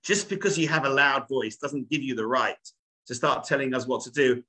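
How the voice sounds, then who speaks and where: A man voicing a brash, loud-voiced man who talks back defiantly, heard through a webcam on a video call. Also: mid-range voice, normal speed, natural voice. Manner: defiant, mocking.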